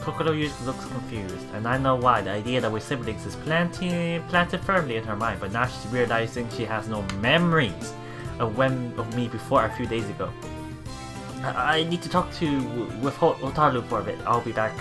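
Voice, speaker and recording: A man hosting a let's play, reading game text aloud and adding casual, halting commentary with repeated words and exclamations. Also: medium pitch, animated. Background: game music.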